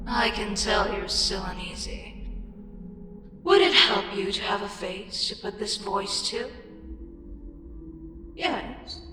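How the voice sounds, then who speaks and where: A woman playing a weary, irritable ancient eldritch deity in a fantasy ASMR audio roleplay, sardonic and theatrical, with deliberate pauses. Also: medium pitch, close to an everyday voice. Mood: calmer, composed. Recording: echoing long reverb with loud music. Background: loud music.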